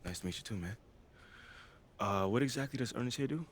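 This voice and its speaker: An actor playing a confident young pro basketball player, talking in a casual, slangy, laid-back way in a game cutscene. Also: male voice, mid-range voice, a little fast, close to an everyday voice. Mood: guarded. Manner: casual, slangy, hesitant asides. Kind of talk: naturalistic video game cutscene acting.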